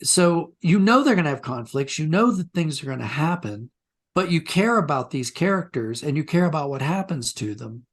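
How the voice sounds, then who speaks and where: A man's voice: on the high side, animated, moderate pace. A man being interviewed as a writer, talking in a reflective, discursive way and often rephrasing mid-sentence. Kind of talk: conversational interview, reflective storytelling.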